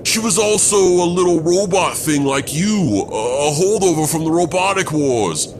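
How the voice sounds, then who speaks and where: Man playing a high-pitched, tactless airman. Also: noisy background, close to an everyday voice. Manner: offhand, blunt, rambling. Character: tactless, oblivious airman pilot, casual and blunt. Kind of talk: comedic radio serial acting.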